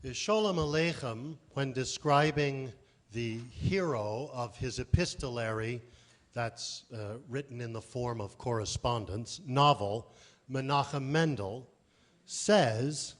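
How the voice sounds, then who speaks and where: A man's voice: mid-range voice, slow pace. A man performing a scripted literary reading on stage at a live event.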